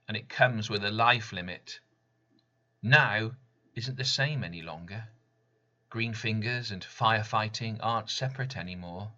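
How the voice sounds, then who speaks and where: Slow, very forceful man reciting poetry. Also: fairly low pitch, highs cut off. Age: middle-aged. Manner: measured, emphatic delivery in short clipped phrases. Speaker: poet reading own work. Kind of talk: spoken-word poetry reading.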